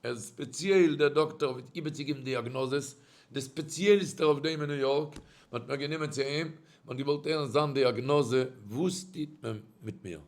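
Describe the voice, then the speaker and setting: Animated Yiddish-speaking preacher man. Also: mid-range voice, moderate pace. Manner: animated storyteller, voice rising and dropping sharply. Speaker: rabbi giving a religious talk. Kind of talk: storytelling sermon.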